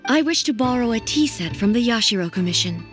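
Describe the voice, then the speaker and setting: An actress playing a dignified, reserved ruler deity in a video game, speaking in a measured, gently formal way. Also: female voice, on the high side, expressive, music in the background, close to an everyday voice. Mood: calm, formal.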